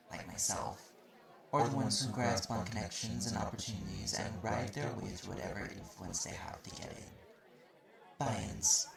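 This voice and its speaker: A man's voice: fairly low pitch, gravelly, echoey room. A man playing a haughty, slightly mean magic-university student in an audio roleplay, explaining things in a curt, condescending way. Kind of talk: audio roleplay acting addressed to the listener. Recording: noisy recording with clicks.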